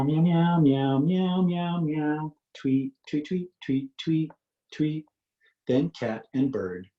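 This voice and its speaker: A man's voice: on the low side, warm tone, echoey room, lo-fi sound. A man reading a picture book to young children as its author, in a singsong, inviting way with questions for listeners.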